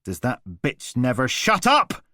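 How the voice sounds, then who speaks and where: A man's voice: on the low side, expressive, moderate pace, no echo, clean studio sound. A man voicing a drunken, cruel, volatile father whose menace rises into bellowing rage. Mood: irritated.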